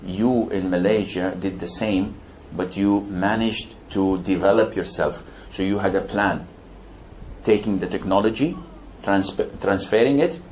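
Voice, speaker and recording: Older Saudi man preaching, low voice. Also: a little mellow, a little hiss or hum. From Saudi Arabia. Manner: wry jokes, emphatic rises in pitch. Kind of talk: lecture Q&A answer.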